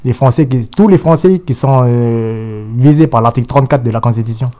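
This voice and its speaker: A man's voice: medium pitch, brisk, warm tone. A man from New Caledonia, giving an insistent political interview full of restarts and repetition. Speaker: guest.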